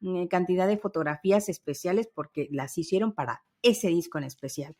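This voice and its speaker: A woman's voice: lowish voice, a little fast, darkish tone. Middle-aged woman chatting emphatically. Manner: emphatic, repeats words for agreement and emphasis. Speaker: podcast co-host. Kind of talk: casual nostalgic conversation.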